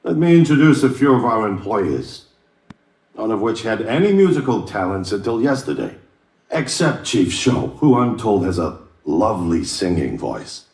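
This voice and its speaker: An actor playing a proud, polished executive addressing his staff in a formal, measured speech for a video game. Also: lowish voice, big pitch swings, darkish tone. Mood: proud, congratulatory. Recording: echoey large room.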